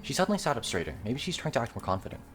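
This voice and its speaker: Male game player, lively read-aloud narration, fast delivery, faint music behind. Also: medium pitch. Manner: animated, voicing characters. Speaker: player reading a visual novel aloud.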